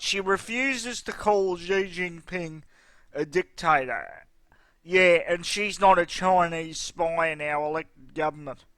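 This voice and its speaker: A man's voice: sits high, sharp tone, no room sound. A man hosting a video, giving scornful, indignant commentary. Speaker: video host. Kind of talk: opinionated commentary.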